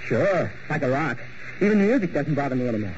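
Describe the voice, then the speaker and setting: A man's voice: medium pitch, sing-song, quick pace, close to an everyday voice. A man playing an unstable, dreamy and grandiose ballet dancer, theatrical and intense in 1940s radio mystery style. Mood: agitated, insistent. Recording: muffled, hissy old radio broadcast.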